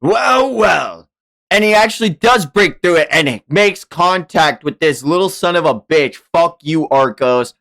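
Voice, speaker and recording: A man's voice: fairly high pitch, normal speed, hardly any pauses, fairly bright tone. A man streaming a comic read-along, narrating dramatically and reacting in casual, profane language.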